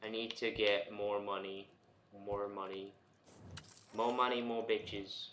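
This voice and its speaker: A man's voice: fairly low pitch, flat delivery, takes it slowly, fairly bright tone. A man talking casually with a friend while commenting on a video game they play together. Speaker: gamer in a co-op let's play. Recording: echoey room, faint background noise.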